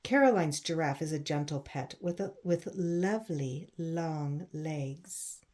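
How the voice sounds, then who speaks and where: A woman reads a storybook aloud for children in a fairly low-pitched voice. She breaks from the text for chatty asides, explains things in simple words and asks her listeners what they think. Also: slow pace, darkish tone. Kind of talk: picture book read-aloud with chatty asides.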